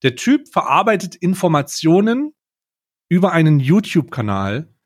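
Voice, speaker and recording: A man's voice: on the high side, very animated, normal speed, slightly rough. A man co-hosting a casual comedic podcast, telling stories in a rambling, mocking way. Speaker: podcast co-host.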